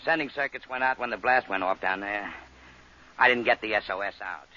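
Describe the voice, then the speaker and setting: An actor playing a dutiful, matter-of-fact radio officer aboard a spaceship in an old science fiction radio drama. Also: male voice, lowish voice, fairly quick, bright, forceful tone. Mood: worried, apologetic. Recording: dull old radio recording, faint noise.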